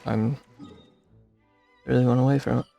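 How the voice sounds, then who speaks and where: A man with a gravelly, husky voice giving casual, rambling gameplay commentary, muttering to himself on a close mic. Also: medium pitch, level tone, normal speed, warm tone, dull audio. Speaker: video game streamer. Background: game music.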